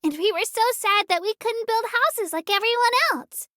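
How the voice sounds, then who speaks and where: A woman's voice: extremely high pitch, normal speed, a bit bright, no room sound, studio quality. A woman voicing a small, cheerful female creature who works as an eager, polite tour guide in a video game, with a cute, girlish voice and childlike earnestness. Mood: bright, welcoming. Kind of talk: scripted video game character narration.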